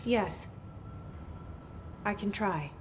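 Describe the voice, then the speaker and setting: A woman's voice: medium pitch, extremely slow, slight background noise. A woman playing a guarded, plain-spoken young director in a video game, with terse, understated, natural delivery. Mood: serious. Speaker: agency director character.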